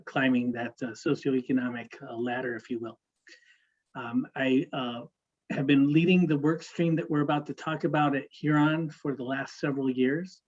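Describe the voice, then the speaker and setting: A man in his fifties presents to a webinar audience in a friendly, filler-heavy way. His sound is a bit dull and muffled. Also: medium pitch. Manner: friendly, many "uh" fillers and doubled words. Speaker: consultant, panelist. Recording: slightly dull audio.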